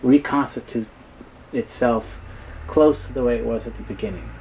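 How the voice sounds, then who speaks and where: A man giving a halting, explanatory technical talk as an expert guest in an interview. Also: medium pitch, steady tone, darkish tone, a little hiss or hum, poor audio. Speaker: guest expert on reel-to-reel tape.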